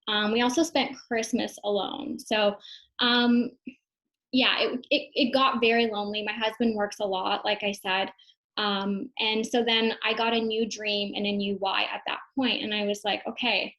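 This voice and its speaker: A woman's voice: mid-range voice, a bit bright, rough recording. A young woman, a mother and online business owner, telling her story in a casual, reflective way. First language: English. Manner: casual, reflective, many fillers and restarts. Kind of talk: personal testimonial talk.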